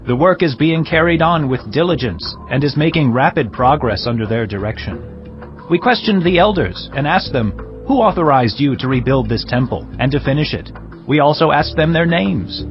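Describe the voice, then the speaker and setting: A man's voice: medium pitch, close to an everyday voice. An actor playing a dutiful provincial governor who reports to his king in a formal, earnest, deferential way. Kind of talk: dramatized scripture reading. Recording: dull lo-fi audio under clear music. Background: music.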